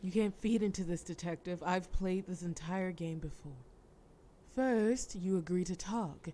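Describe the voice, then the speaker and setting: A woman's voice: fairly low pitch, unhurried, mellow, a little hiss or hum, natural voice. A woman playing a defiant, jaded mafia boss in an audio roleplay, speaking in a dry, wry, dismissive way. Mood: weary, dismissive. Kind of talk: scripted audio roleplay acting.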